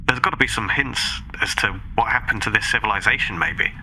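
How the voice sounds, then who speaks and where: A man's voice: lowish voice, a little fast, pushed and bright, a little hiss or hum, rough recording. A man playing a tabletop game, speaking casually in character. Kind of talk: casual in-character role-play.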